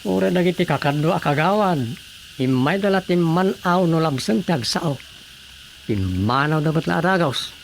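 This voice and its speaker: A man's voice: on the high side, expressive, normal speed, vocal fry, slight background noise. A man with an Ilocano accent playing a flustered, easily startled village councilman in a Filipino radio comedy. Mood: puzzled, chatty.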